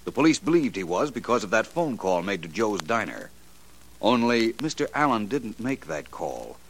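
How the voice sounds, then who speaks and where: An actor playing a suave, clever detective in a 1940s radio drama, explaining in measured tones. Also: male voice, fairly low pitch, sing-song, a little hiss or hum. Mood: calm. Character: suave male detective, calm and cerebral.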